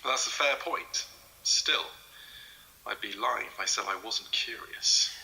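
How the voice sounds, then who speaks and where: Bright-voiced, polite investigator. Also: male voice, on the low side, measured pace, vocal fry, full character voice, rough recording. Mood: probing. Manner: polite, hesitant, probing. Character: polite, curious, hesitant male investigator. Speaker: interviewer researching a legend. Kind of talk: audio drama acting, naturalistic interview.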